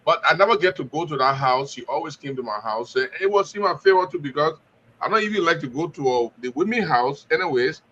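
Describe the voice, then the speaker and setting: Panelist: man, confident storyteller, bright tone, muffled faintly noisy audio. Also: fairly high pitch, animated, fast. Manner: confident, storytelling with quoted dialogue. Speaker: studio guest panelist. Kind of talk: opinion talk.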